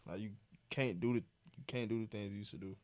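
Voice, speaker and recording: Low-voiced young man, rambling chat. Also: a little mellow. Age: 20s. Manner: rambling, halting, many fillers and restarts, says bro. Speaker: podcast co-host. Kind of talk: casual reflective chat.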